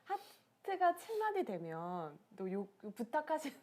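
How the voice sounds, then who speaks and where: A woman's voice: on the high side, wildly swooping pitch, a little slow, a crowd in the background. A woman hosting a live-stream interview, asking questions in an upbeat, conversational way. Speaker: interviewer host.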